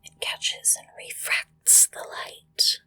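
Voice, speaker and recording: A woman whispering gently and deliberately into a close microphone, leading a ritual in ASMR style with hushed pauses. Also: rough recording. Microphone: close-up sensitive microphone.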